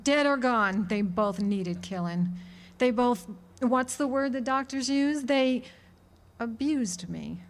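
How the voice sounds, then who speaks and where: Bitter small-town sister, highish voice. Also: female voice, lively intonation, slow pace. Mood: bitter, defiant. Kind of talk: radio drama acting. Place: live amphitheater stage performance. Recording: live stage recording with music.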